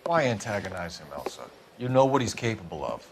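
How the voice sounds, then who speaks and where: An actor playing an earnest, upright police detective in a 1940s noir game, measured and pressing. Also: male voice, lowish voice, very animated, background noise. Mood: calm.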